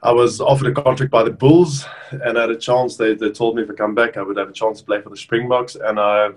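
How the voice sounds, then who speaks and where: A South African man in his thirties, whose first language is Afrikaans, chatting casually over a video call as an interview guest with relaxed restarts. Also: mid-range voice, fast talker. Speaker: rugby player guest. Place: bedroom at home, indoors.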